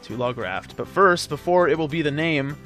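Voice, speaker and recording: A man with a fairly high voice hosts a video game let's play. He talks casually and jokingly, as if to a friend alongside him. Faint music plays behind him. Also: lively intonation, normal speed, bright, forceful tone. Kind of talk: casual joking gameplay commentary with a co-commentator.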